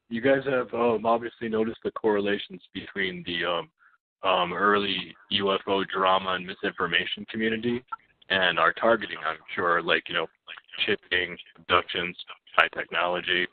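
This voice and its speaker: A man's voice: lowish voice, vocal fry. A man phoning in as a caller, talking casually and insistently, with slang and repeated points, over a telephone line. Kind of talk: casual unscripted monologue. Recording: muffled phone conference line.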